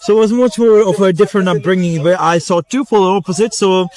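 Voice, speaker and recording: Chatty man, high voice. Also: fast talker, crowd noise. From Pakistan. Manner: chatty, self-deprecating, many fillers. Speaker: interview guest. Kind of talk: casual interview.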